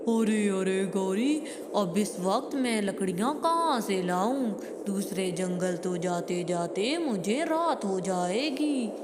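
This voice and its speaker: A miserly male crow from a cartoon, voiced by a woman grumbles reluctantly at a slow pace. Long reverb surrounds the voice. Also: medium pitch, expressive. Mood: grumbling. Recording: noisy, long hall-like reverb.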